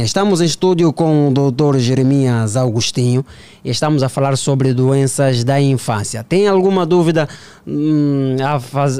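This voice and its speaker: A man's voice: medium pitch, mellow, smooth, no echo. A man with an Angolan accent hosting a radio phone-in from a studio in a conversational style. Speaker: radio host.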